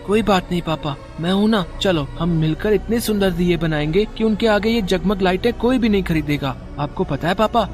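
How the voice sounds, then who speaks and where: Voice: mid-range voice, close to an everyday voice. A performer voicing a sweet, considerate young schoolboy in a cartoon moral story, earnest and childlike.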